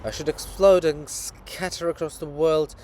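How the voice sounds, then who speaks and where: Man playing anguished princess monument, slow and declamatory. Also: on the high side, fairly even intonation, darkish tone, slight background noise, pitched above the performer's own voice, lightly acted. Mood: defiant, fervent. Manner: impassioned, declamatory, mournful.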